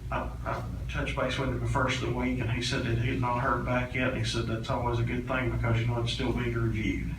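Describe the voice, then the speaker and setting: A man with a mid-pitched, flat voice explains things as an official at a public meeting. He is recorded from a distance in an echoey room. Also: fairly quick, few pauses, noisy background.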